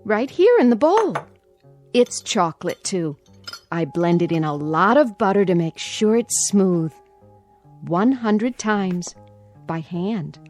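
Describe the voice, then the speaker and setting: An actress playing an anxious, doting aunt in a radio drama, sweetly coaxing and nervously repeating herself. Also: female voice, mid-range voice, big pitch swings, slow pace, a little mellow, faint music behind. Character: anxious, doting aunt placating a feared nephew.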